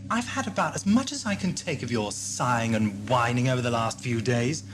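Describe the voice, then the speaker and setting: A man's voice: mid-range voice, lively intonation, a little fast, noisy background, lightly acted. A man acting an impatient, eccentric time traveller in an audio drama, dry and emphatic. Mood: exasperated. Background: music.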